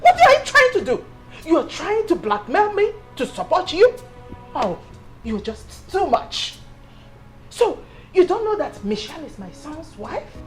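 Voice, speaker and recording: High-voiced, volatile Nigerian mother-in-law. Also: big pitch swings, slow pace, pauses often, darkish tone, full character voice. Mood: indignant. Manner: scolding, repeating demands, emotional. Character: volatile, domineering mother-in-law, torn between scolding and tenderness. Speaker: actress. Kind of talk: melodramatic film drama acting. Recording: music bed under dialogue.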